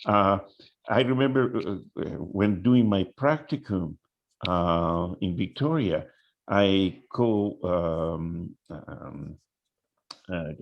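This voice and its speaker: Older man, low and unhurried, halting with fillers; muffled lo-fi sound. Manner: halting, many fillers and restarts. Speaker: workshop participant. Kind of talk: casual discussion.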